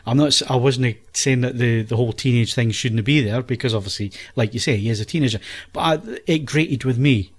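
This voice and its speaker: A man's voice: mid-range voice, fairly quick, few pauses. Hesitant man in casual podcast chat. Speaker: podcast film reviewer.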